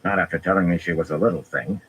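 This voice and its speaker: A man voicing a blunt, practical male soldier who talks briskly, in an audiobook. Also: low voice, a bit bright, a little hiss or hum, low-quality recording. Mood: questioning.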